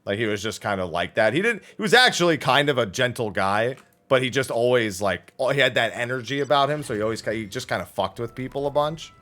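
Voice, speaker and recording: A man chatting casually as a livestream host, telling anecdotes in an animated, profane way and acting out the dialogue. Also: medium pitch, fast, hardly any pauses.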